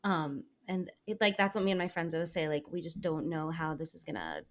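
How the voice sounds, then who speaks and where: A woman's voice: sits low, expressive, fairly quick. Chatty young woman guest. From Israel and the United States. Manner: chatty, laughs easily. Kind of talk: casual conversation.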